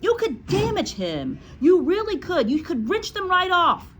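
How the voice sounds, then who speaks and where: A woman's voice: extremely high pitch, huge pitch swings, unhurried, a little hiss or hum, voice pushed up, exaggerated voice. A woman voicing an anxious, protective female teacher in a storybook, imploring and crying out. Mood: begging.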